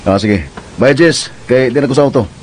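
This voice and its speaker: A man's voice: medium pitch, moderate pace, close to an everyday voice. A man playing a grumbling, worried driver muttering to himself in a radio drama. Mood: easygoing. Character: grumbling, worried man driving in city traffic. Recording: very noisy, car sound effects. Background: car engine and traffic effects.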